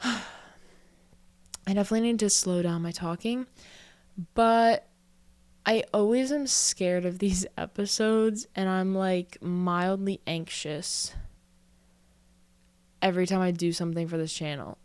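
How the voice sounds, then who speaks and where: A woman's voice: medium pitch, measured pace, pauses often, darkish tone, clear, resonant tone, dry recording. A woman in her early twenties hosting a casual solo podcast, talking in a chatty, self-reflective way full of "like" fillers and a little out of breath. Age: early 20s. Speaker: podcast host.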